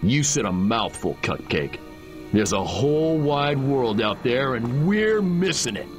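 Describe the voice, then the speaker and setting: A man's voice: mid-range voice, big pitch swings, a little slow, music in the background. A man with an American accent playing a restless, dim 1950s teenage rebel in a video game, overwrought and melodramatic.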